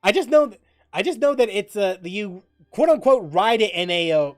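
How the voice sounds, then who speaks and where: A man hosting a livestream in casual, rambling chat, often halting to repeat and rephrase himself mid-sentence. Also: high voice, lively intonation, faint music behind. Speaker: livestream host.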